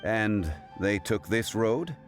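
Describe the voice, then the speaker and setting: An actor playing a composed, dignified gentleman in a fantasy game, speaking formally and in measured phrases. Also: fairly low pitch, lively intonation, soft background music. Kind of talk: scripted game voice acting.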